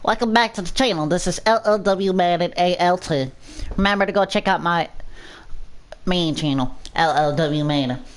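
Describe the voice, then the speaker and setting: A channel host narrating a scripted story aloud in a measured reading style. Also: medium pitch.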